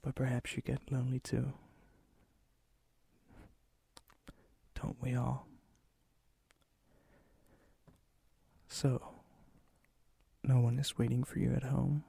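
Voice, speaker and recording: A man playing a lonely, gently teasing vampire in an ASMR roleplay, with a soft, breathy voice that often drops into a whisper. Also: mid-range voice, completely flat delivery, no echo, heavily acted. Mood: teasing, inviting. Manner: slow, intimate, hesitant, gently teasing.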